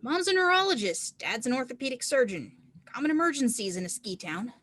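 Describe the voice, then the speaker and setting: A woman plays an encouraging, self-reliant non-binary teen snowboarder. The voice is high and closely recorded with a dry sound, reading dialogue from a film script. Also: sing-song, a little fast, fairly bright tone, no echo. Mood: matter-of-fact, resigned. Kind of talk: screenplay table read.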